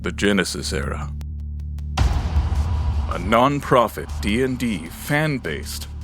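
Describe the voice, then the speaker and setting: A man's voice: fairly low pitch, big pitch swings, slow pace, vocal fry. A man working as announcer, reading scripted titles for an audio drama in a formal narrating style. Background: music.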